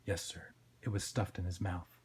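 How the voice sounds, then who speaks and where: A man voicing a meek, polite police sidekick from a comic book in a quiet, flat, deferential way. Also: deep voice, normal speed, husky, pitched below the performer's own voice.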